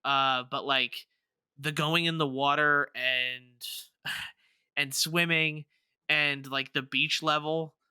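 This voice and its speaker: A man's voice: on the high side, steady tone, takes it slowly, sharp tone, smooth, no room sound, studio quality. A man co-hosting a gaming podcast, chatting casually and circling back over his words as he talks. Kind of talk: casual chat about video games.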